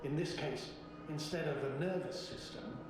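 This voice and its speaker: Game role: actor as earnest, formal male officer, deliberate reasoning, very echoey and noisy sound. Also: on the high side, close to an everyday voice. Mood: questioning. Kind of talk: video game dialogue acting. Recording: distant, very echoey, loud background noise.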